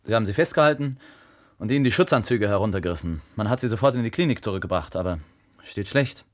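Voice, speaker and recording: An actor playing a grim, self-righteous expedition man, speaking gravely in a science-fiction radio play. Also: on the low side, lively intonation, fast talker, natural voice.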